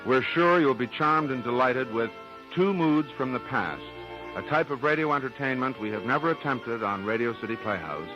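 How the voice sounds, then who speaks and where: Music plays clearly behind a male radio host on a dull vintage 1940s broadcast. He speaks at a middling pitch, delivering a scripted preview in old-time radio style. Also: animated, moderate pace. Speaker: radio show director and host.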